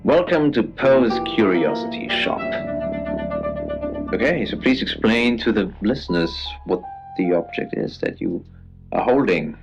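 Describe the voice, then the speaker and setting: An actor playing an eccentric, macabre curiosity shop keeper who co-hosts a late-night radio show in a deadpan, hesitant way. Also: male voice, fairly low pitch, unhurried, muffled recording. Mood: deadpan, eerie. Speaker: radio co-host. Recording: band-limited radio sound over music.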